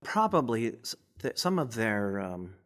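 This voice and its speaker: A man's voice: lowish voice, very animated, unhurried, a bit bright. A man speaking as an expert guest in an informal interview, stammering and repeating words as he explains.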